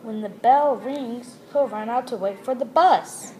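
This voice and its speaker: A woman reading a children's picture book aloud, deliberately and phrase by phrase. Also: on the high side, background noise. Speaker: storybook reader.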